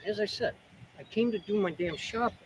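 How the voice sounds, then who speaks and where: Male member of the public, high-pitched, defensive and repetitive, caught outdoors with clear noise and birdsong. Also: fairly quick. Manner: protesting, repetitive. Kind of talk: defensive street argument. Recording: dull, noisy outdoor recording with birdsong.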